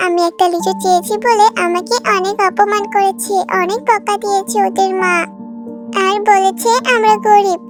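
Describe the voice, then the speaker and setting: Little village girl: tiny high voice, earnest, childlike, kids' cartoon with music behind. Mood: hurt, sad. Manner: earnest, childlike, repeats herself eagerly. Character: kind, eager, innocent little village girl.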